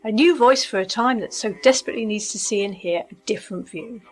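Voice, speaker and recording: A woman's voice: mid-range voice, fast, close to an everyday voice. A woman playing a teasing companion in radio play dialogue, talking in a casual, dry way. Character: teasing woman companion reading out online reviews.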